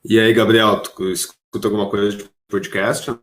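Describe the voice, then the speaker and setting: A man's voice: on the low side, level tone, moderate pace. A man hosting a podcast in casual conversational style. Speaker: podcast host.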